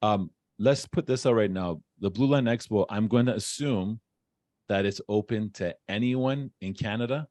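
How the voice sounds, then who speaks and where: A man's voice: on the low side, normal speed, darkish tone, no echo. A man hosting a conversational interview, steering the questions and repeating words in agreement. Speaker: podcast host, interviewer.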